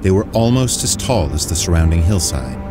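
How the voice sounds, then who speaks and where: A man giving solemn, deliberate scripted narration for an audio drama. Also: low voice, expressive. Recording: loud music bed under voice. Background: loud music.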